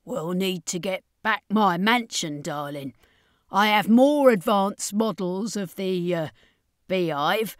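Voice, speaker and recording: A woman's voice: mid-range voice, slow, dry recording, clean studio sound, lightly acted. A woman as Carlene, glamorous vampire lady. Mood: breezy.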